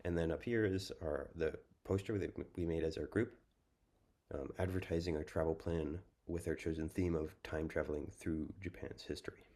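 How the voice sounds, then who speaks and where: A young American man giving a prepared student presentation, speaking in a measured, thoughtful way with frequent ums. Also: extremely low pitch, steady tone, mellow. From United States. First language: English. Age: young adult.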